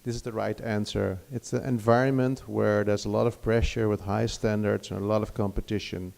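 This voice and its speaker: A man's voice: lowish voice, flat delivery, brisk, gravelly, darkish tone. A middle-aged Dutch man with a Dutch accent giving an academic lecture in English, hesitant, with many restarts and fillers. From Netherlands. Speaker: guest lecturer.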